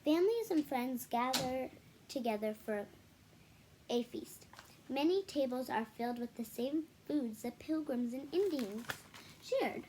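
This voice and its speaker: A woman's voice: sits high, expressive, measured pace, background noise. A woman reading a children's picture book aloud, adding casual explanatory asides for young listeners. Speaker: read-aloud storyteller for children.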